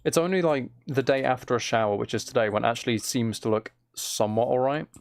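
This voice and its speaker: A man streaming a game, chatting casually in a relaxed ASMR style, rambling along in a self-deprecating way. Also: mid-range voice, quick pace, gravelly. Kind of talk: casual livestream chat while gaming, relaxed ASMR.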